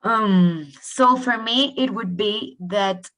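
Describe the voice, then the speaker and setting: A woman's voice: mid-range voice, slow pace, fairly bright tone. A woman from Colombia, a native Spanish speaker, giving considered answers as a guest panellist.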